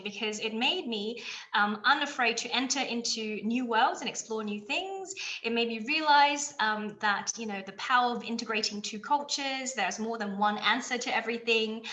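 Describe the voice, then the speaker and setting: A woman gives an enthusiastic chemistry lecture, stopping often for ums and restarts. Her voice is bright and sits a bit high. The room around her sounds echoey. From China, raised in Australia. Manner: enthusiastic, many fillers and restarts. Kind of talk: webinar science talk.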